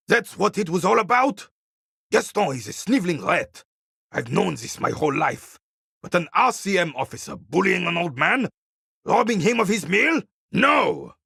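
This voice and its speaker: An actor playing a proud, embittered old royalist war veteran in a video game, with a raspy voice and a haughty, cantankerous, formal way of speaking. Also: male voice, fairly high pitch, bright, forceful tone, no room sound, clean studio sound, heavily acted. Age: older. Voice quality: gravelly, raspy, husky. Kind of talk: dramatic video game character acting.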